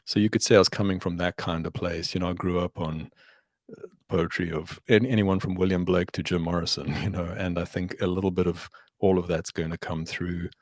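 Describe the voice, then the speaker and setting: A middle-aged musician, a man, talking as a guest in a relaxed interview, reflective and measured, thanking his host warmly. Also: deep voice, no echo, studio quality. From South Africa. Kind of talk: casual interview chat.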